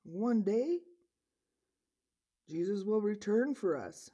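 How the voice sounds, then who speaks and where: A woman voicing an earnest disciple puppet, telling a story to children in a deliberate manner. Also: medium pitch, lively intonation, warm tone, husky. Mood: solemn. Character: earnest disciple puppet recounting Jesus' return.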